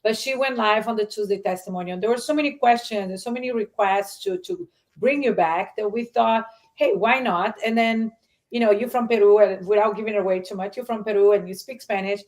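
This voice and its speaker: A woman's voice: mid-range voice, steady tone, fairly quick, darkish tone, low-quality recording. A woman from Argentina hosting a live stream, talking in a chatty way and often restarting her sentences. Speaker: host.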